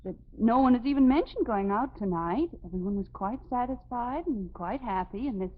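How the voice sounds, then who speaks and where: An American actress playing a calm, reasonable middle-aged housewife and mother in a 1950s radio comedy. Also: fairly high pitch. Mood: patient, firm. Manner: measured, patient, gently firm. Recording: dull, slightly noisy vintage radio broadcast.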